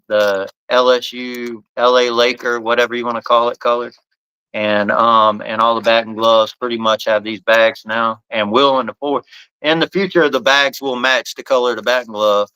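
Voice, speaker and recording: A man with a bright, forceful tone and a smooth, crisp voice hosts a casual live podcast. He talks in loose, rambling runs, stumbling and restarting phrases as he goes. The sound is close and dry. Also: mid-range voice, steady tone, normal speed, no room sound, low-quality recording. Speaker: podcast host and bat company owner.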